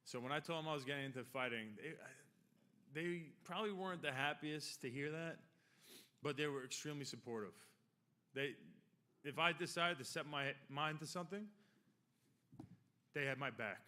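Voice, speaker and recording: A man's voice: fairly high pitch, normal speed, pauses often, pushed and bright. A man of about forty, giving a rambling, joking and heartfelt acceptance speech at a podium microphone in a ceremony hall. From Long Island, New York. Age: 40s. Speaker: hall of fame inductee.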